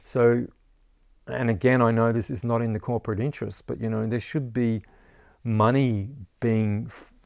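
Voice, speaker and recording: A man's voice: mid-range voice, level tone, normal speed, gravelly, darkish tone, dry recording, clean studio sound. A man, an herbalist teacher chatting casually in an interview, rambling and saying "you know" often.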